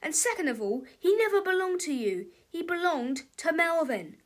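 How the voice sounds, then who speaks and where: Woman as high-voiced argumentative schoolboy. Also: very animated, moderate pace. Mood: arguing. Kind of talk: storybook character voice.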